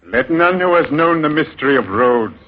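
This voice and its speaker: A man's voice: on the high side, expressive, normal speed, natural voice. Man doing a bossy old beggar master, big grand speeches, muffled old radio. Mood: commanding. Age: older. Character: commanding old male master beggar, cunning. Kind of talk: 1930s radio drama acting. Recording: dull 1930s radio recording.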